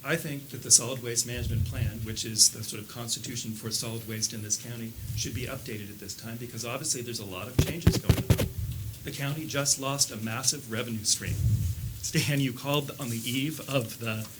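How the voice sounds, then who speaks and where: A man's voice: medium pitch, a little fast. A man giving pointed, critical public comment at a county meeting. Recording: noisy public meeting recording.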